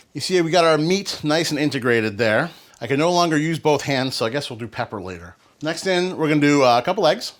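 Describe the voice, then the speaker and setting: A man's voice: medium pitch, a bit bright. A man hosting a home cooking show, talking casually and rambling into playful, joking asides. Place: home kitchen.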